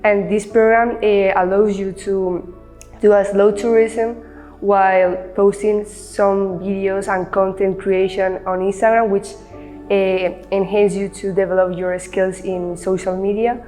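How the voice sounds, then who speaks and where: A woman's voice: mid-range voice, level tone, a little slow, warm tone, faint music behind. A woman in her twenties from Spain giving upbeat, fluent interview answers. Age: 20s. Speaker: program participant. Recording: reverberant room.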